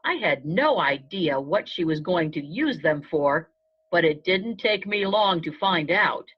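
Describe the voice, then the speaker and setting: A woman narrating a children's storybook aloud in the first person, in a measured storytelling manner. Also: on the low side, expressive, slightly rough. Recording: dull, lo-fi sound.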